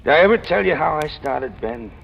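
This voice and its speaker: An actor playing a talkative, self-deprecating ex-convict in an old radio crime drama, with a gravelly, folksy, slangy delivery. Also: male voice, on the high side, lively intonation, a little fast. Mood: confiding, amused. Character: talkative, self-deprecating ex-convict stick-up man, cheerfully fatalistic. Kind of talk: 1940s-50s radio crime drama acting. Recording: muffled, hissy old radio recording.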